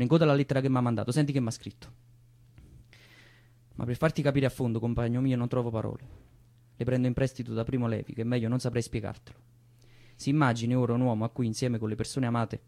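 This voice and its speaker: A man's voice: mid-range voice, gravelly, warm tone, close to an everyday voice. A man playing a determined, anguished militant in a radio theatre drama, with a southern Italian accent and an urgent, insistent way of talking. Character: determined, anguished young militant man protecting his brother. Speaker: stage actor. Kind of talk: naturalistic radio theatre dialogue.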